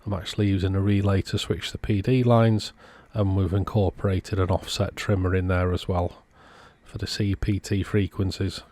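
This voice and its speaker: Low-pitched man narrating casually. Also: little pitch movement, moderate pace, few pauses, a little mellow. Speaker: video host, radio repair technician. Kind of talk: casual narrated repair commentary.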